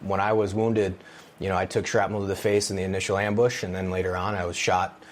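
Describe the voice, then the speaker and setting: A man's voice: deep voice, little pitch movement, fast, a little hiss or hum. A man speaking bluntly and matter-of-factly about past injuries. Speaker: military veteran interviewee.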